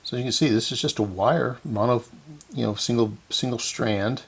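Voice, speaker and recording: A man hosting a hands-on repair video, talking casually and haltingly as he thinks aloud, with folksy self-deprecating asides. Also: medium pitch, vocal fry, slightly rough. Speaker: host of a radio repair video series.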